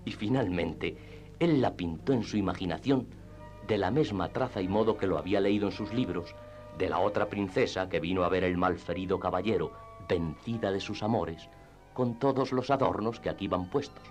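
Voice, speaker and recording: A man narrating classic literature in radio theatre style, with expressive storytelling and deliberate phrasing. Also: sits low. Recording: music under the voice. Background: background music.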